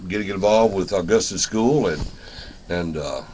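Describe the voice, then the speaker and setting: A man hosting a radio show in a folksy, halting, casual style. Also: sits low, a little mellow, a little hiss or hum. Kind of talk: casual radio interview and announcing.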